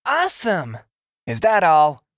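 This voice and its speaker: A woman's voice: lowish voice, wildly swooping pitch, takes it slowly, dry recording, clean studio sound, heavily acted. A woman voicing an eager, cheeky young boy from a street gang in a comic dub, brash and slangy. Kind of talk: comic dub character acting.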